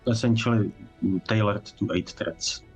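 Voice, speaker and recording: A man with a somewhat low voice talks casually as a developer fielding livestream questions. His English is halting and phrased like a second language, and faint music plays underneath. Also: a little mellow, breathy edge. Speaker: game developer guest answering technical questions.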